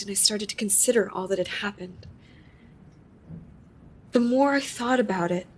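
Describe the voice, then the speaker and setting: A woman's voice: mid-range voice, fairly bright tone, slightly rough, poor audio. An actress playing a shaken, wondering woman who recounts her strange experience in a confiding, conversational monologue for a live audio drama. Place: live on stage in a theater.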